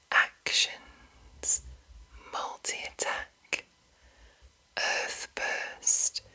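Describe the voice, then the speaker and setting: A woman ASMR host speaking entirely in a soft, breathy, unvoiced whisper to her viewers. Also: low-quality recording. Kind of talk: whispered ASMR reading of game rulebook and chat to viewers.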